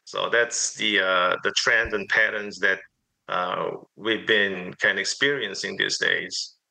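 Gravelly, bright-toned man. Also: sits low, fairly even intonation, takes it slowly, poor audio. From South Korea. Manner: hesitant, many uh and um fillers. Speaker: pastor. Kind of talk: interview answers.

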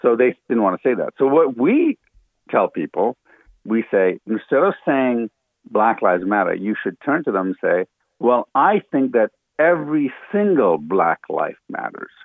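A man's voice: medium pitch, big pitch swings, a little slow, dry recording. A man who was born in Ghana and grew up in Africa, a guest earnestly explaining his view in a radio interview, with many fillers and restarts. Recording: dry, tinny phone line.

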